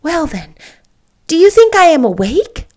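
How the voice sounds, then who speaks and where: A woman reading an audiobook, voicing an eager, imaginative young schoolteacher girl who talks in an excitable, gushing way. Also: extremely high pitch, a little slow, voice pushed up, heavily acted. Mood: excited.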